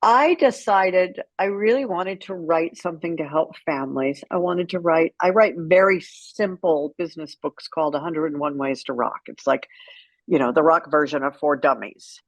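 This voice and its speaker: A woman's voice: low voice, moderate pace, dry recording, poor audio. A middle-aged woman speaking as an interview guest, telling stories in a frank, wry, conversational way. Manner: frank, wry, acts out remembered dialogue. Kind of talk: candid conversational storytelling.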